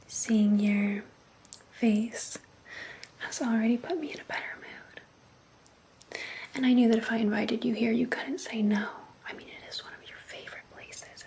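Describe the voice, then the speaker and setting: A woman playing an obsessed, jealous vampire ex-girlfriend in an ASMR roleplay, speaking in an intimate, breathy, coaxing whisper into a close microphone. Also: fairly high pitch, fairly even intonation, a little mellow, a little hiss or hum, poor audio. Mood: needy, probing. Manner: slow, intimate, passive-aggressive, coaxing.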